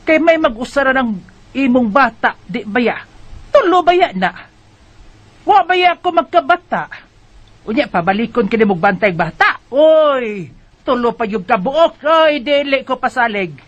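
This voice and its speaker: A woman's voice: sits high, sing-song, a little slow, full character voice. An actress playing an exasperated older mother who nags and reproaches her grown son in a radio drama. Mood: indignant refusal. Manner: nagging, reproachful. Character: scolding, exasperated older mother, a grandmother who refuses babysitting. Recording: dull lo-fi radio drama recording.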